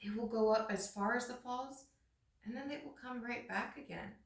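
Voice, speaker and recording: A wise storybook raven, read by a woman, argues his case with firm, deliberate emphasis. The voice lifts above a plain narrating tone and rings with room echo, a little far from the mic. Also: on the high side, voice pushed up, natural voice. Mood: firm, reasoning. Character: wise, reasoning male raven giving his opinion. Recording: roomy echo, distant microphone.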